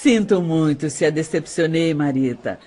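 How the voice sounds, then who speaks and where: A woman's voice: deep voice, normal speed, warm tone. A cold middle-aged adoptive mother in an audio drama. She is haughty and composed, with a condescending, mocking manner. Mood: cool disdain.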